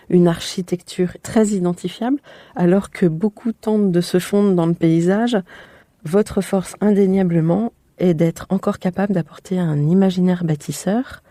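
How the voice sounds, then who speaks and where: A woman hosting a podcast interview, speaking in a measured, formal and literary way as she delivers prepared remarks and questions. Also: lowish voice, warm tone, no room sound.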